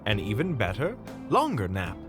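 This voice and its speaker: Man playing a wry, lively monster hunter. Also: on the low side, normal speed, over music. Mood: wry, mock solemn. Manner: wry, teasing, explanatory. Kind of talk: audio roleplay acting.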